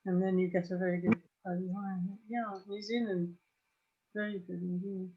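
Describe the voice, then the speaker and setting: A woman's voice: mid-range voice, little pitch movement, moderate pace, breathy edge. Older woman on a muffled call. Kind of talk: casual, knowledgeable chat on a group wine call. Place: home study, on a video call. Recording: muffled, dull video-call audio.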